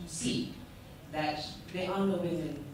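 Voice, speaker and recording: A woman's voice: low voice, slow. A young South African woman speaks sincerely, leaning on frequent fillers. She sounds distant in a very echoey function room. Room noise runs behind her. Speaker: consultant. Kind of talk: impromptu speech to a gathering. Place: function room at a breakfast event. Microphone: handheld mic, far camera. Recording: echoey room, far from the camera.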